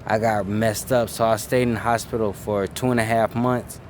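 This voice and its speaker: A man's voice: fairly low pitch, fairly even intonation, normal speed, a little hiss or hum. Laid-back young man, interview guest. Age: 20s. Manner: laid-back, drops "bro" and "man", trails off softly. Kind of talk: casual interview chat.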